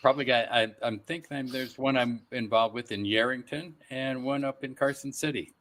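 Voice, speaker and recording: Male guest, bright clear-cut tone at middle pitch, casual short answers. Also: steady tone, moderate pace, a little hiss or hum. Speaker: guest, voice actor. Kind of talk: casual chat, brief replies.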